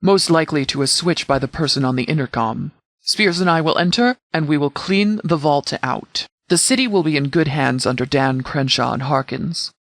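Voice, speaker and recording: A stern sheriff of a post-apocalyptic militia, played by a male actor in scripted game dialogue. He speaks formally and with measured authority, his voice pitched a little high for a man. The sound is dry and close, with little room around it. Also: fairly bright tone, dry recording. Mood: resolute. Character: stern, commanding sheriff leading a wasteland militia.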